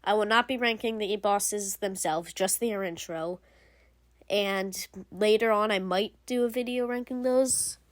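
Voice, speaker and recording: A woman's voice: medium pitch, dry recording, studio quality. Young woman rambling casually over gameplay. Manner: rambling, many fillers and restarts. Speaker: gaming channel host. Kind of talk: casual video game commentary.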